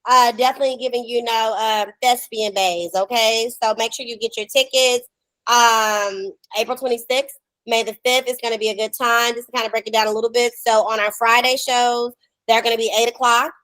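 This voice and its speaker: A woman with a high voice and a dry, close sound hosts a casual podcast. Her delivery is animated and sassy, full of slang. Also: brisk, no echo, low-quality recording. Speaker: podcast host. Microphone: video call.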